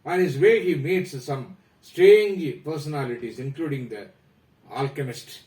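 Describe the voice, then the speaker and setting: A man with an Indian accent, presenting a prepared talk about a novel in a formal, measured way that trails off at the ends of phrases. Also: on the high side, darkish tone, roomy sound, recorded from a distance, poor audio. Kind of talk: reading a prepared literary talk.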